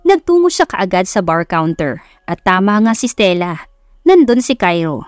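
A woman's voice: medium pitch, very animated, moderate pace, no room sound. Filipino woman narrating over music, dry sound. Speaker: audiobook narrator. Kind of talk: scripted romance story narration with acted dialogue.

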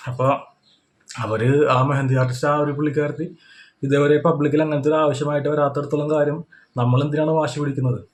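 A man's voice: on the high side, level tone, fast talker. A man giving unscripted opinion commentary in a repetitive, explanatory way, often checking in with the listener. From India. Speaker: YouTube reaction commentator.

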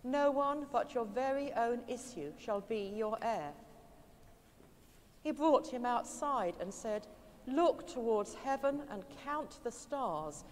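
A woman reading scripture aloud at a church service in a large stone cathedral, slow, measured and solemn. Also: fairly high pitch, a little mellow, a little hiss or hum. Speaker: scripture reader. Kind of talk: liturgical Bible reading. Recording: long cathedral reverb.

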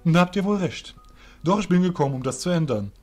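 A man playing a young fairy general, composed and courteous, speaking in formal, measured phrases in a fantasy audio drama. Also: fairly high pitch, animated, a little mellow, faint music behind. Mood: polite, serious.

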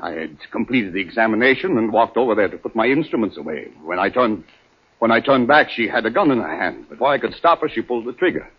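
Deep, husky, nervous doctor. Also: male voice, very animated, heavily acted. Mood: agitated, defensive. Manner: agitated, halting. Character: nervous, evasive male doctor, stern with his wife. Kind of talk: 1940s radio acting. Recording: muffled 1940s radio recording.

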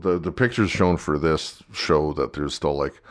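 A man with a North American accent, co-hosting a casual podcast in a hesitant, measured way. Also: a bass voice. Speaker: podcast co-host. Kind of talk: casual fan podcast chat.

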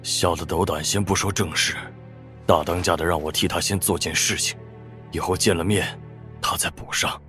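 A man's voice: sits low, fairly even intonation, normal speed, bright, forceful tone, soft background music. An actor playing an awestruck, nervous Taoist trickster who speaks humbly and colloquially to his lord in a Chinese wuxia audio drama. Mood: nervous, humble. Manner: deferential, fawning, colloquial.

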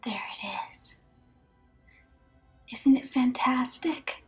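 A woman voicing a curious, carefree teenage mermaid girl in a fan dub of a cartoon, teasing and breathy with wonder. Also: high-pitched, drawn-out words, in a whisper, faint music behind. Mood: eager. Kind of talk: fan dub of an animated film.

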